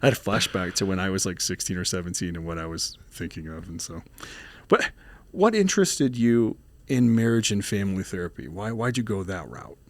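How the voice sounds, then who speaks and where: A man's voice: low-pitched, sing-song, brisk, no echo. A man hosting a podcast, interviewing a guest with loose, winding questions. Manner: encouraging, asks rambling questions. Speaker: podcast host. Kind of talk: casual interview conversation.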